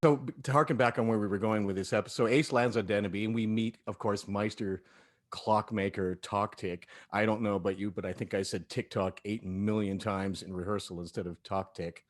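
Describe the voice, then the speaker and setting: Low-voiced man hosting a casual discussion. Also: fairly even intonation, a little fast. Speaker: host of an audio drama cast talkback.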